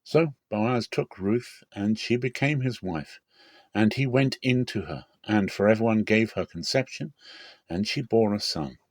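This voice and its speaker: Male scripture narrator, lowish register, dramatic storytelling read, dry sound. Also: unhurried, a little mellow, no echo. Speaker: Bible reader, narrator. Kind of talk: dramatic scripted Bible reading.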